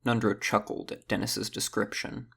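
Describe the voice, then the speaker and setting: A man with a gravelly, husky voice reading scripted narration for a fantasy audio drama. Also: lowish voice, level tone, normal speed, fairly bright tone.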